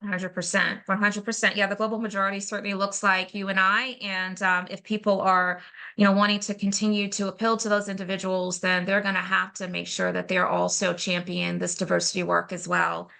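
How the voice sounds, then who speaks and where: A woman's voice: mid-range voice, level tone, brisk, creaky, pushed and bright. A woman co-hosting a podcast interview, talking in a conversational and affirming way. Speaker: co-host.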